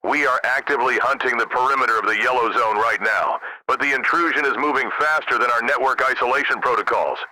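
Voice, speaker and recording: A man's voice: mid-range voice, fast, few pauses, bright, forceful tone, slight background noise, low-quality recording. A man playing an urgent army general in a scripted crisis drama, with insistent, formal military delivery. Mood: urgent, businesslike. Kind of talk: scripted radio drama dialogue.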